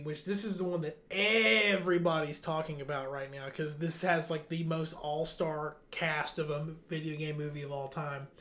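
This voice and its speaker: A man's voice: a little high, roomy sound. A man hosting a video game news podcast, running through the news in a casual, chatty way. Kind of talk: casual news rundown and chat.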